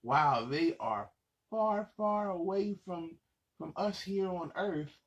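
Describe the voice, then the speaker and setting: A man's voice: high-pitched, slow, lo-fi sound. A man reading a children's storybook aloud as host, in a playful, dramatic manner, speaking to young listeners.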